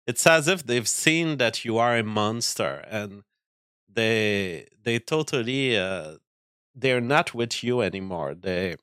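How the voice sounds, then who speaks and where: Man with a weak, halting voice. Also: lowish voice, expressive, a bit bright, clean tone, dry recording, clean studio sound. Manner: halting, many restarts and fillers. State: weak, tired voice. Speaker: livestream host reading viewer chat. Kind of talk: casual livestream monologue.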